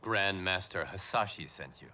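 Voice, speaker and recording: A man's voice: low voice, expressive, normal speed, noisy background. A man playing a level-headed young warrior monk in a video game, speaking in an earnest, pleading way. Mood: concerned. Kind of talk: dramatic video game acting. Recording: loud cinematic music behind.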